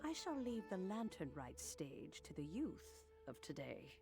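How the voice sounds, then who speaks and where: A woman playing a gentle, wistful old granny in a video game, speaking in a genteel, unhurried, reflective way over background music. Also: lowish voice, sing-song, vocal fry, heavily acted. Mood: kindly, lightly amused.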